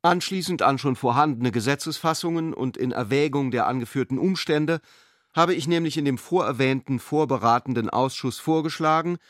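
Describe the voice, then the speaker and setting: A man's voice: mid-range voice, a bit bright, dry recording, studio quality, lightly acted. A man voicing a pedantic, self-important elderly father, a jurist, reading out a formal, long-winded letter in a radio drama. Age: older.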